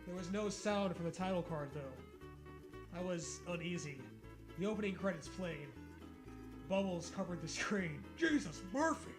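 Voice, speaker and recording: A man's voice: sits high, lively intonation, a little slow, music in the background. A man co-hosting a comic read-aloud of fan fiction, breaking into sarcastic, sweary casual banter. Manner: sarcastic, profane riffing.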